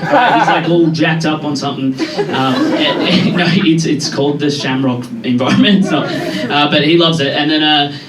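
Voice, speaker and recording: A young Australian man performing a chatty, self-deprecating stand-up set with quick asides to a live pub crowd. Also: on the high side, distant mic. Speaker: stand-up comedian. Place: pub comedy room with a live audience. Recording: echoey room taped from afar, loud crowd laughter.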